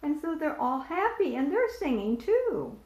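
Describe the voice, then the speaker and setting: A woman's voice: sits high, sing-song, a little slow, a little mellow. A woman volunteer reading a picture book aloud to preschoolers, asking them questions and pointing out the pictures. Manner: asks young listeners questions and points to pictures. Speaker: volunteer storyteller. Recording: echoey room.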